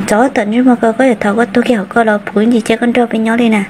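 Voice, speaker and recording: A woman voicing a female character in an amateur animated cartoon drama. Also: fairly high pitch, fast talker, a little hiss or hum, natural voice. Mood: conversational. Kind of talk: hobby animated cartoon dialogue.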